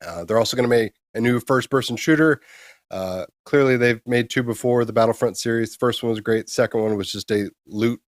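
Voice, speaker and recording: A man's voice: mid-range voice, a little fast, dry recording, studio quality. A man co-hosting a casual news show, explaining things informally and giving his opinions. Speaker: co-host of a gaming news show.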